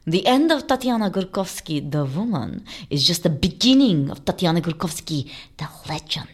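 A woman's voice: fairly low pitch, sing-song, moderate pace, slightly rough, heavily acted. A woman playing a vain, theatrical Russian escapologist in an audio drama, grandiose and full of herself. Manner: grandiose, self-admiring.

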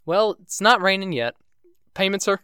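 A man's voice: high-pitched, expressive, moderate pace, pushed and bright, smooth. An actor playing a cautious, chatty boatman in an audio drama. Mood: wary, chatty.

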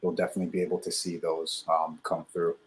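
Deep flat-voiced man. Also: low-quality recording. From Nigeria. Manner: measured, many fillers and hedging phrases. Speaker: startup founder, guest. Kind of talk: interview answers.

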